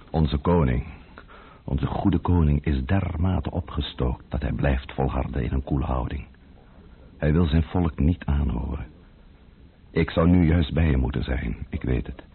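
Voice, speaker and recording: A grieving nobleman, with an extremely deep, rough voice. He reads his letter gravely and with sorrow. Soft music plays under a narrow, phone-like old radio sound. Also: full character voice. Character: grieving nobleman writing a letter, weary and solemn. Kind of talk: letter read as radio drama monologue.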